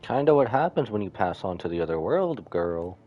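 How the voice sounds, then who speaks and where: A man with a low voice and lively, swinging intonation comments casually on a game he is streaming. He reacts in an offhand, slangy way, with faint music behind him. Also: moderate pace, dull audio. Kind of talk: casual live gameplay commentary.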